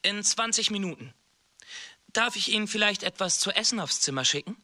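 An actor playing a polite, evasive hotel receptionist in a radio play, courteous, formal and deferential. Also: male voice, sits high, lively intonation, a little fast, sharp tone, exaggerated voice. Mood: apologetic, formal.

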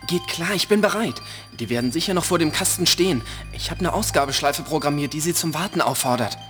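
High-voiced plucky boy. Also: expressive, brisk, a bit bright, soft background music. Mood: eager. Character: plucky, eager boy hacker-chaser. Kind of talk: children's audio drama acting.

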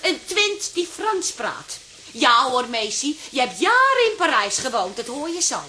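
Bossy sarcastic sister in a radio play, high pitched n very swoopy, meddles in everything. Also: female voice, sing-song, measured pace, bright, forceful tone, a little hiss or hum, exaggerated voice. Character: sharp-tongued meddling younger sister and wife, exasperated, working-class café woman. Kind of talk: Dutch radio play acting.

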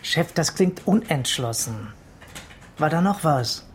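An actor playing an impatient, argumentative crime writer in a radio drama, blunt and irritable. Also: male voice, a little high, lively intonation, slow pace, a little hiss or hum. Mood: sceptical.

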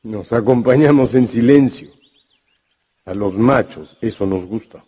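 A man playing an older judge, a calm and dismissive family patriarch, in a radio drama. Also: medium pitch, a little slow, husky. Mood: genial.

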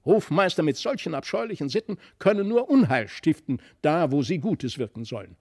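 A man's voice: fairly high pitch, expressive, brisk, a little mellow, no echo, clean studio sound. A man voicing an indignant nobleman's wife and mother, scolding and mocking, in a fairy-tale reading. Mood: scornful.

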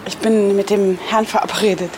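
A woman's voice: medium pitch, steady tone, normal speed. A woman chatting casually as she records on location in a shop. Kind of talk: casual chat. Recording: noisy location recording with ambience.

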